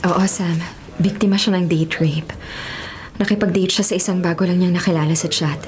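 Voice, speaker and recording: A woman's voice: lowish voice, steady tone, normal speed, darkish tone, lightly acted. An actress playing a mischievous, affectionate young woman, a mayor's daughter, in a Filipino radio drama, teasing and forthright with a Filipino accent. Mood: somber. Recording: music behind the voice.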